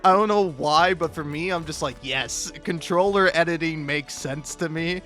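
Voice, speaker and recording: A young man telling casual, self-deprecating stories in an animated, rambling monologue, recorded on a modest home mic. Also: fairly high pitch, moderate pace. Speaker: video creator. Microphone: cheap mic, home recording. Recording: amateur mic with occasional plosive pops. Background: music.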